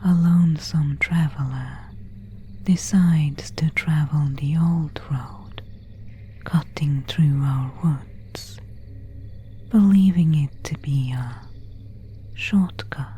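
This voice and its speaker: Slow whispering seductive vampire woman, acted by a woman. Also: low voice, dark tone, slight background noise. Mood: composed, eerie. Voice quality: breathy, unvoiced whisper. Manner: slow, formal, archaic, intimate phrasing. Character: pale, elegant vampire woman of an ancient family, seductive. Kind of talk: whispered ASMR roleplay.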